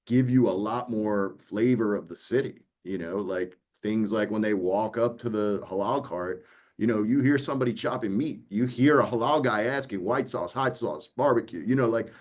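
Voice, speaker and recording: Lowish-voiced man talking casually. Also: a little mellow. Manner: conversational, loosely phrased with frequent "you know". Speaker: audiobook producer. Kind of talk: casual explanatory interview talk.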